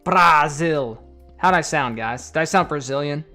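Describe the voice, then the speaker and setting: A man's voice: on the high side, unhurried, bright, forceful tone. Man, casual stream chat, reads donations, light background music. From United States. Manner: chatty, reads viewer donations aloud. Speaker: card collector streamer.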